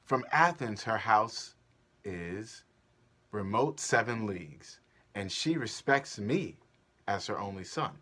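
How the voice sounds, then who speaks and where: Man as ardent young lover, scheming, tender, dramatic, varied pitch, theatrical stage reading. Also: animated, takes it slowly, bright, forceful tone, full character voice. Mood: urgent, conspiratorial.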